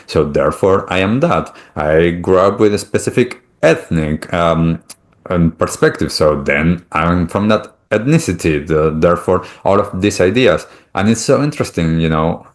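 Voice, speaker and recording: A middle-aged man with an Argentinian accent, a native Spanish speaker, talking reflectively and at length as a podcast host. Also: low voice. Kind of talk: reflective conversational interview talk.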